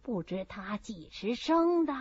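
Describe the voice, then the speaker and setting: A woman putting on a humble old peasant granny, husky. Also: on the high side, sing-song, unhurried, no room sound, heavily acted. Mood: curious. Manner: folksy, exclaiming, self-effacing. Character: good-humoured, humble old peasant granny, effusively grateful. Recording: dull, muffled audio.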